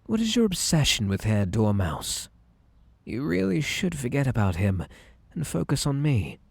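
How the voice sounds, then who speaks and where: A man playing a possessive, unhinged magical bunny boy in an ASMR roleplay, with a British accent, a breathy voice and a theatrically polite, coaxing, menacing manner. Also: mid-range voice, sing-song, normal speed, no room sound, exaggerated voice. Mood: wounded, unstable.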